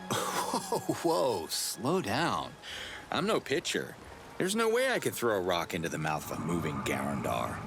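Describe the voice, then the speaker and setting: A man's voice: low-pitched, wildly swooping pitch, unhurried, creaky, fairly bright tone, full character voice. A man playing a dry, sardonic, laid-back hero in a video game cutscene. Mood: skeptical. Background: background music.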